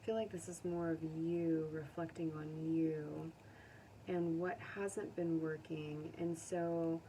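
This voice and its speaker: Low-voiced American woman reading tarot, distant mic. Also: little pitch movement, warm tone. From United States. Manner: conversational, musing, pausing to think aloud. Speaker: tarot reader. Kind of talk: casual unscripted tarot reading to viewers. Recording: distant mic with faint background noise.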